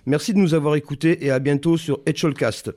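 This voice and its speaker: A man's voice: on the high side, steady tone, fairly quick, loud music behind the voice. A man hosting a podcast interview, prompting his guest and finishing his thoughts. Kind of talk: interview questions.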